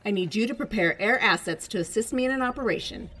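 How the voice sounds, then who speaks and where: An actress playing a cold, secretive operations commander in an audio drama, with a clipped, authoritative delivery. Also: female voice, medium pitch, expressive, quick pace, fairly bright tone, slight background noise. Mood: cold, commanding.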